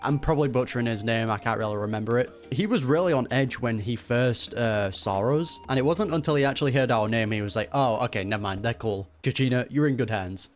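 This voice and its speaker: A male gaming streamer reacting casually as he plays. His voice is medium in pitch and his manner is joking and offhand. Faint music runs underneath. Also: fairly quick, hardly any pauses. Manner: joking, chuckles often.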